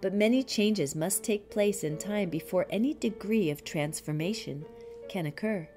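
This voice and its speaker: Woman, unhurried audiobook narration, soft dark tone, deliberate pauses, music behind. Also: medium pitch. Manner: measured, deliberate, with frequent pauses. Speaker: reader of a spiritual commentary. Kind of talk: scripted audiobook reading.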